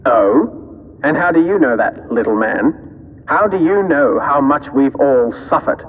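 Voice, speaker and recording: An actor playing a callous, suspicious resistance boss in a sci-fi audio drama, with a sardonic, condescending manner. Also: male voice, mid-range voice, lively intonation, unhurried, slightly rough, narrow, tinny audio. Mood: scornful. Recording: long hall-like reverb.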